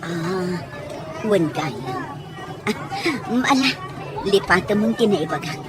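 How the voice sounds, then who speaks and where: A woman's voice: medium pitch, expressive, slow. Woman as inquisitive village mother, Ilocano accent, hesitant, audio drama, busy crowd noise behind. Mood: awkward. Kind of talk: radio drama acting.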